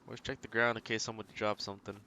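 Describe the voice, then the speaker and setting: A man's voice: on the low side, little pitch movement, rapid-fire, pushed and bright, a little hiss or hum. A man giving casual live commentary on a video game, thinking aloud as he decides what to do. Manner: talks through decisions as if thinking aloud.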